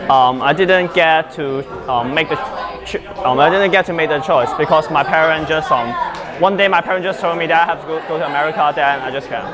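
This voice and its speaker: A man's voice: on the high side, a little fast. Hesitant teenage man from China. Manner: hesitant, with many ums. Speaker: immigrant student interviewee. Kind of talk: candid interview answers. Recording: crowd chatter behind.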